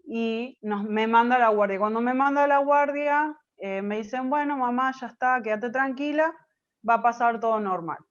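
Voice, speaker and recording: A woman from Argentina with an Argentine Spanish accent, telling a personal story as an interview guest, pausing often with fillers and restarts. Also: a little high, highs cut off. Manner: hesitant, many fillers and restarts. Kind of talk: personal testimony in conversation.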